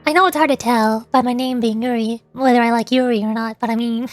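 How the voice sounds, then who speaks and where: High-pitched playful woman livestreamer. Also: steady tone, a little fast, dry recording, soft background music. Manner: playful, mock-indignant banter. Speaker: VTuber livestreamer. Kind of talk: casual livestream chat with viewers.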